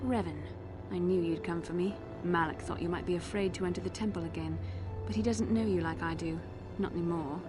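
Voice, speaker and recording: A proud, embittered fallen knight from a video game, played by a woman. Her voice sits slightly low. She is haughty and intense, with clear music behind her. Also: steady tone, a little fast, close to an everyday voice, low-quality recording. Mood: cold. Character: proud, bitter fallen Jedi woman turned Sith. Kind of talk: dramatic video game acting.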